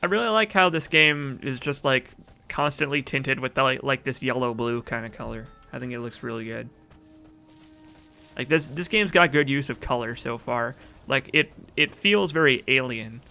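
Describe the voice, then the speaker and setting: A man's voice: mid-range voice, moderate pace, creaky, sharp tone, faint music behind. A young man casually chatting through a game stream, reading out chat comments and rambling with fillers. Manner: chatty, rambling, reads chat aloud, laughs often.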